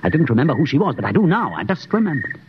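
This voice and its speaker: An actor playing a haunted, suspicious expedition doctor in a 1950s radio drama, with intense, deliberate dramatic phrasing. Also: male voice, fairly high pitch, big pitch swings. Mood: urgent, troubled. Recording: noisy, dull 1950s radio recording.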